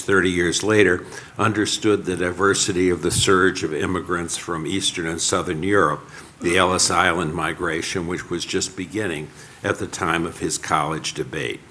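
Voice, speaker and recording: An older man lectures from a podium in a very low, forceful voice. He keeps to a measured pace, as if reading from a prepared text. A faint hum of the room sits behind him. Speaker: panel speaker. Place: lecture hall.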